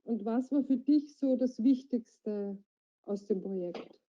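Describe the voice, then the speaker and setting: A woman interviewer asks short, direct questions in a fairly high voice. Her audio is dull and lo-fi, like an online call. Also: slow pace. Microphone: video call.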